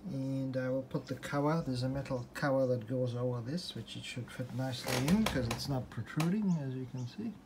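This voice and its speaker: Guy doing a casual repair walkthrough on his phone, mid pitch, kinda hesitant thinking out loud, bit of background noise. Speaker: hobbyist restorer presenting a repair. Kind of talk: informal unscripted workbench walkthrough. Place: indoors at a desk in a room. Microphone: handheld phone or camera, behind the lens.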